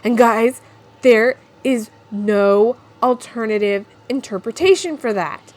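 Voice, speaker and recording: A woman's voice: on the high side, slow pace, a little mellow. A woman playing a frantic, chatty young woman on the run in an audio drama, with a rambling, confiding manner. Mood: scared, confiding. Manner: rambling, informal, breathless asides to listeners. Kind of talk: first-person audio drama, confiding podcast monologue.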